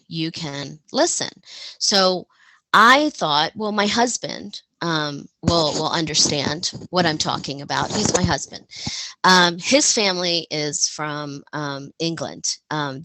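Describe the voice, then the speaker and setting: A woman giving a personal storytelling presentation as a panel speaker, halting and reflective as she goes. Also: fairly low pitch, pushed and bright, no room sound.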